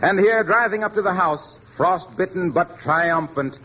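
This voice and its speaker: A man's voice: high-pitched, a little slow, bright, forceful tone. A man with an American accent announcing in formal, declamatory 1940s radio style from a script. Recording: muffled 1940s radio, faint noise.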